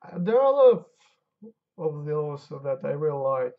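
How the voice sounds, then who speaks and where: Tired, gravelly-voiced game developer streaming. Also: male voice, fairly high pitch, big pitch swings, normal speed. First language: Albanian. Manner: muttering to himself while testing, talking to chat. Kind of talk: casual live-stream chat while coding. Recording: dull lo-fi stream audio.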